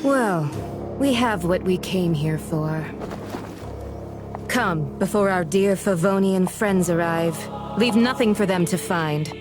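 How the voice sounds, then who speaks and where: An icy, scornful villainess. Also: female voice, lowish voice, takes it slowly, loud music behind the voice. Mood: disdainful. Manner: contemptuous, icy and mocking. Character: cold, haughty villainous noblewoman, adult. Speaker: voice actor in a game dub.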